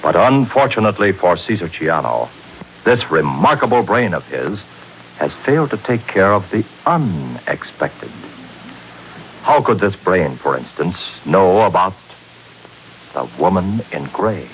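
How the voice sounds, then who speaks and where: A man narrating a 1940s radio serial as announcer, in a theatrical, measured, scripted style. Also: low-pitched, sing-song, rough recording. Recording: hissy 1940s radio broadcast.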